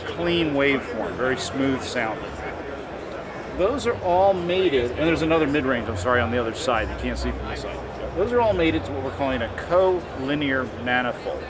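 A man in his forties giving a measured, technical product demonstration as a company representative. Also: medium pitch. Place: busy indoor convention hall booth. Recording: loud trade show hall, distant mic. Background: loud exhibition hall ambience.

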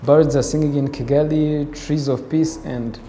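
A man's voice: fairly high pitch, steady tone, moderate pace, mellow, a little hiss or hum. A young man, speaking formally as the interviewer in a seated on-camera interview. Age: young adult. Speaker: interviewer, host. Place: indoor room with bare walls, seated. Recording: echoey room, music behind.

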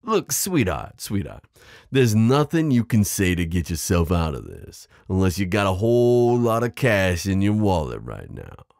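A man voicing a smooth, possessive mafia boss in an ASMR roleplay, menacing yet protective, teasing the listener with pet names. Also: low-pitched, big pitch swings, unhurried, darkish tone, no echo, studio quality, heavily acted. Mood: controlled, teasing. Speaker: audio roleplay performer. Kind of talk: ASMR roleplay drama acting.

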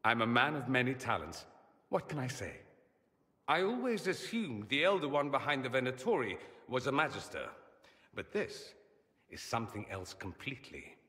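A flamboyant young mage nobleman in a video game, full of arch, ironic wit and a bright, animated delivery that swoops up and down. He teases and performs every line, with a long reverb trailing behind his voice. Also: medium pitch, moderate pace. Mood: playful. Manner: lively, teasing, theatrical. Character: witty, vain, charming male mage nobleman, young adult. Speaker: mage companion.

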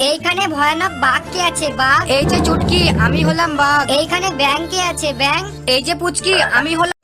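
A woman's voice: very high voice, steady tone, fairly quick. A woman voicing cheerful little animal characters in a repetitive, sing-song children's cartoon question-and-answer. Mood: playful. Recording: loud music behind the voice.

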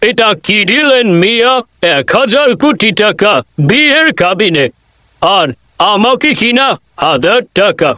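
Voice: medium pitch, animated, a little slow, sharp tone. A performer voicing a greedy, threatening male marriage registrar in a comic cartoon, insistent and demanding.

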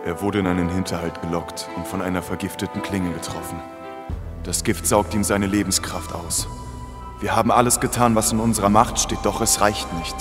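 A low voice resounds in a long reverb over clear music. It belongs to a powerful archmage of a fantasy audio drama played by a man. The delivery is solemn, grave and ominous. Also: fairly even intonation, normal speed, close to an everyday voice. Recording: reverberant hall, music behind.

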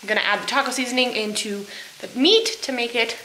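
A woman's voice: fairly high pitch, fast, sharp tone, roomy sound, slight background noise, poor audio. A woman in her twenties filming a casual cooking vlog in her small home kitchen, chatty, flustered and self-deprecating, picked up by a camera mic across the room. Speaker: cooking vlogger.

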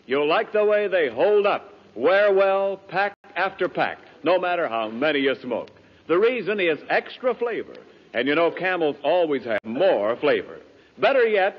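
A man's voice: fairly high pitch, husky. An American man reading advertisements as a 1940s radio announcer. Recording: muffled, reverberant 1940s radio recording.

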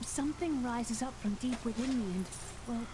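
Actress as an earnest young fantasy heroine. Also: female voice, a little high, moderate pace, lightly acted. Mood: confiding, uneasy. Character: earnest, troubled young woman with hidden powers. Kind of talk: fantasy video game voice acting. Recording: game audio under clear noise and chatter.

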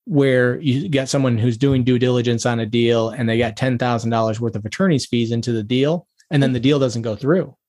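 A man's voice: medium pitch, fairly even intonation, brisk, few pauses, darkish tone. Attorney guest, male, methodical and practical, asks question after question. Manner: methodical, lists questions one after another. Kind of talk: interview answers, advising.

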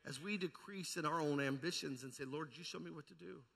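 Male pastor, forceful bright delivery, fervent evangelical preaching with emphatic repetition, amplified in a long-reverb church hall. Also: on the high side, brisk, gravelly, breathy edge. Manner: puts rhetorical questions to the congregation. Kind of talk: charismatic evangelical sermon. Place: large indoor church hall.

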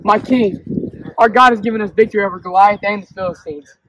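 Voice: medium pitch, fairly quick, a bit bright, slight background noise, natural voice, poor audio. A performer playing a bold, indignant young shepherd boy, earnest and declamatory, in an amateur Bible story. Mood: bold, devout.

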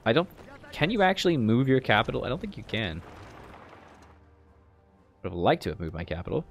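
A man in his thirties with a gravelly voice, livestreaming a strategy game from home, chatty and joking while reading chat and thanking followers. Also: medium pitch, sing-song, a little fast, soft background music. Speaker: streamer. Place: home streaming room, indoors.

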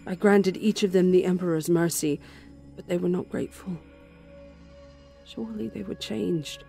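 A woman's voice: on the low side, gravelly. Woman playing a grim zealot warrior nun, music behind. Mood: haunted, zealous. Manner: solemn, deliberate, intense. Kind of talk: dramatic first-person horror narration.

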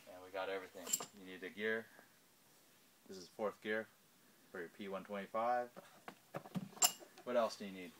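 Man, 30s, casual shop-tour guide, low voice, echoey warehouse with a faint hum. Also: fairly even intonation, moderate pace, long pauses. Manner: lists items while walking, filming handheld. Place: large indoor parts warehouse and shop. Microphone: handheld phone camera.

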